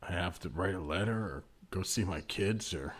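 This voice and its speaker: A dazed, meek male office manager, played by a man in improvised comedy. His voice is very low and husky, and he mumbles haltingly as if half asleep.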